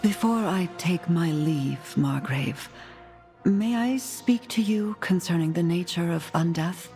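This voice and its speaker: An actress playing a gentle, earnest undead noblewoman in a video game, with a breathy voice and formal, measured delivery. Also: fairly low pitch. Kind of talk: video game cutscene acting. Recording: long reverb, music behind.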